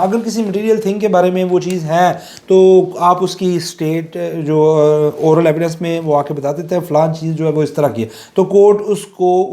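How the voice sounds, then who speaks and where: Man, middle-aged, Pakistani accent, slightly high pitch, dark mellow tone, informal law lecturer, conversational, explanatory, English terms mixed in. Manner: explanatory, conversational, illustrating with everyday examples.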